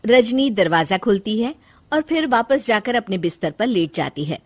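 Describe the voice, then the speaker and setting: A woman narrating a scripted story for an animated moral tale. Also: medium pitch, normal speed.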